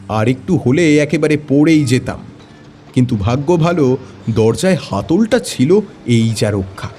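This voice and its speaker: Animated male storyteller with a mellow voice. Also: fairly high pitch. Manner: expressive storytelling. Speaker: story narrator. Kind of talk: dramatic first-person audio story narration.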